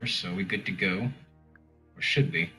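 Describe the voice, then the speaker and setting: A man's voice: low voice, unhurried, bright, forceful tone, soft background music. A man chatting casually as a player on a tabletop game stream. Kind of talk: casual group chat.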